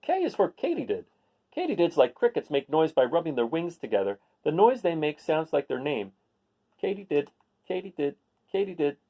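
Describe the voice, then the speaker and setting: Male read-aloud host for a children's book, slightly high voice, very lively intonation, explains things with casual asides. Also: normal speed. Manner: explanatory, addressing young listeners.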